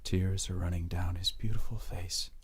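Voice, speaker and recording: A man narrating an audio drama in a grave, measured, dramatic storytelling manner. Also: low-pitched, fairly even intonation, slightly rough.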